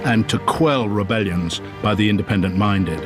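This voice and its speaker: A man's voice: on the low side, moderate pace. A man narrating a scripted television political report. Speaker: documentary narrator. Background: loud background music.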